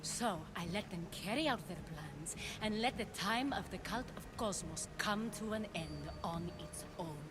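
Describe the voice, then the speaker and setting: A woman's voice: medium pitch, expressive, unhurried, few pauses, over music, close to an everyday voice. Poised, ambitious former cult leader woman, voiced by an actress. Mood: solemn, visionary. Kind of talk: dramatic video game cutscene acting.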